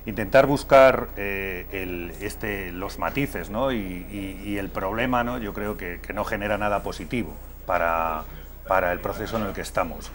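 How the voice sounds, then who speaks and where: A man's voice: deep voice, normal speed. A man from Madrid speaking as a political guest in a televised debate, hesitating with many fillers and restarts. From Madrid, Spain.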